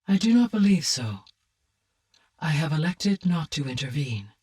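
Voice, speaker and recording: A woman playing a calm, analytical artificial intelligence in a video game, speaking low and huskily with measured, formal, precise diction. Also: husky, distant mic, exaggerated voice. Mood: measured, firmer. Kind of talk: scripted video game dialogue.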